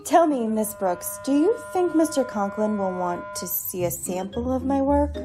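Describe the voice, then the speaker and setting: An actress playing a brash, skeptical stage dancer in a comedic radio play, sassy and blunt. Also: female voice, a little high, expressive, normal speed, music in the background, close to an everyday voice. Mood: breezy, sassy. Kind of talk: comedic old-time radio play acting.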